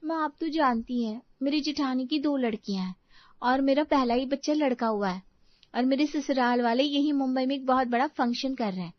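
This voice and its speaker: A woman's voice: high-pitched, fairly quick, dry recording, muffled recording, natural voice. A woman playing a proud, sweet-talking young married daughter in a Hindi moral story cartoon. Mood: demanding.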